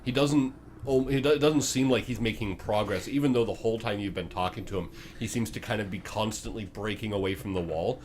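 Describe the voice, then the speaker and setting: A man working as game master, improvising descriptive narration for a tabletop game in a halting way. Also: on the low side, a little mellow, slight background noise.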